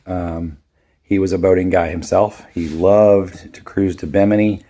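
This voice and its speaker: A man in his fifties with a raspy, hoarse voice, chatting casually and rambling through a boat repair vlog. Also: deep voice, level tone, measured pace, dark tone, no room sound. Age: 50s. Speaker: boat owner vlogger.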